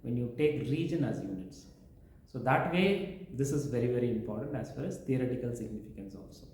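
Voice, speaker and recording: Male professor, lecture-style, mellow tone, very reverberant room. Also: medium pitch, expressive, a little fast. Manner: lecturing, listing points one by one. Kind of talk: explaining teaching methods in an interview. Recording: very echoey room.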